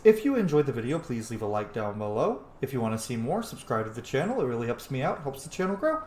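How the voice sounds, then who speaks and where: A woman's voice: very deep voice, very animated, fast, warm tone, slight background noise. A woman gaming host giving casual, chatty, jokey commentary on a playthrough. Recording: echoey room with faint music. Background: faint game music.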